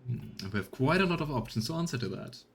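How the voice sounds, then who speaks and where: A man's voice: medium pitch, animated, fast talker, vocal fry. A man giving casual let's play commentary, thinking aloud as he weighs choices in a role-playing game.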